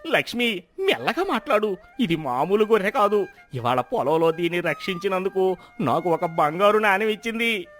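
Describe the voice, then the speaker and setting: A man playing an excitable, proud village farmer in expressive moral-story dialogue. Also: sits high, moderate pace, soft background music. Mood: hushed, insistent. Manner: expressive, exclaiming.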